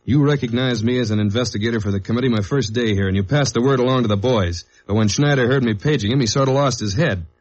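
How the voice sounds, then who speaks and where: A man acting as a steady, dogged government investigator and narrator in a mid-century radio detective drama. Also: medium pitch, fast, hardly any pauses, natural voice. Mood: accusing. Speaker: lead actor and narrator of a radio detective serial. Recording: dull old radio recording with faint music.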